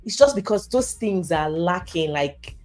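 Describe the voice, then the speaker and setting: A woman's voice: on the low side, expressive, unhurried, darkish tone, faint music behind. Woman host in conversational debate.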